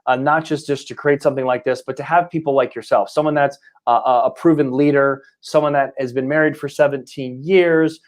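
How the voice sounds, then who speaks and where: A man's voice: medium pitch, steady tone, brisk, few pauses. Man hosting a casual motivational interview. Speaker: interview show host and coaching institute founder.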